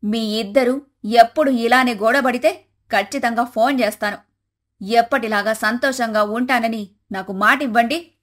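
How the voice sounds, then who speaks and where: A woman voicing an exasperated, bossy older mother-in-law in an animated family story. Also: on the high side, normal speed, lightly acted. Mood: stern, threatening.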